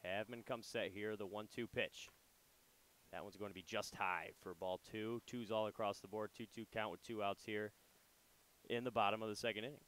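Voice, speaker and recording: Low-voiced relaxed young baseball announcer. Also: male voice, normal speed, low-quality recording. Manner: relaxed, chatty, with loose fillers. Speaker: radio color commentator and play-by-play announcer. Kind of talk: casual baseball radio commentary. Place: ballpark broadcast booth.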